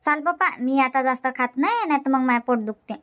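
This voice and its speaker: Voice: high voice, fast, a bit bright, lo-fi sound. A voice actor doing a whiny old village woman. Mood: grumbling. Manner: grumbling, self-pitying. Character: fussy older village woman with stomach ache. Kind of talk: dubbed cartoon comedy.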